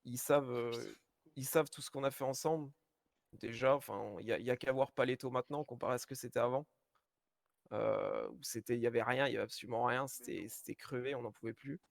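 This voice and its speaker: A man speaking as a mayoral candidate at a casual roleplay press conference, frank and self-assured but halting, full of fillers and restarts. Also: mid-range voice, fairly even intonation, vocal fry, no room sound, clean studio sound. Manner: hesitant, many fillers and restarts.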